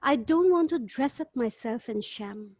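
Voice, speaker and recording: A woman's voice: fairly high pitch, expressive, measured pace, a little mellow, husky, no echo, studio quality. A woman taking part in an online poetry gathering, speaking and reciting as a member over a video call. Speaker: poetry group member reading a chosen poem.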